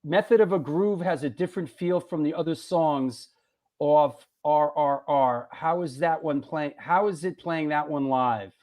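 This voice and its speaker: A man's voice: fairly high pitch, measured pace, darkish tone. A man with a Manhattan accent hosting a live-stream show, upbeat as he reads out viewer questions. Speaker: host.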